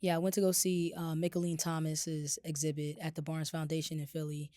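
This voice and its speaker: A woman co-hosting a podcast, a little raspy from a cold, talking casually and rambling with lots of fillers. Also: sits low, fairly quick, no echo, studio quality. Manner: relaxed, rambling with many fillers. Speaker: podcast co-host. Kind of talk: casual chat.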